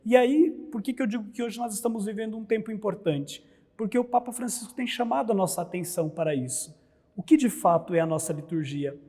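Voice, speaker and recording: A Brazilian priest lectures earnestly, posing rhetorical questions and answering them. His voice sits high with a husky, mellow edge, and a long hall reverb trails behind it. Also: fast talker. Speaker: priest, liturgy scholar. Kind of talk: explanatory religious talk.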